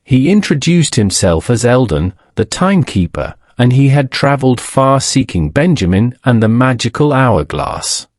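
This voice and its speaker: A man reading a scripted bedtime story as a narrator, in a measured, solemn storybook manner. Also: medium pitch, sing-song, a little mellow, no echo, clean studio sound.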